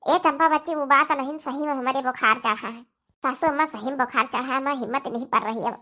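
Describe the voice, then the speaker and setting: A woman's voice: high-pitched, quick pace, pushed and bright. A woman with an Awadhi accent voicing an aggrieved, pleading young daughter-in-law in a comedy cartoon, complaining plaintively.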